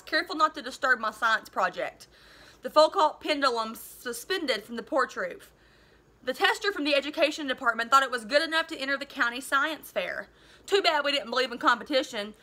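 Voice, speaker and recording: A woman's voice: high-pitched, bright, forceful tone. A woman in her thirties reading a children's novel aloud expressively, like a teacher. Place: living room at home.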